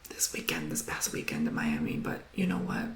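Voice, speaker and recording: Whispering male tarot reader, gravelly, roomy echo. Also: rumbling low, huge pitch swings, fairly quick. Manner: soft whisper, earnest encouragement; rambling, emotional.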